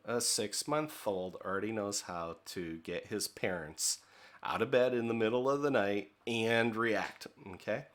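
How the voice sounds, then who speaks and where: A middle-aged man from the United States giving a relaxed training lecture on leadership, talking conversationally with filler words and checking in with "okay?". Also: on the low side, unhurried.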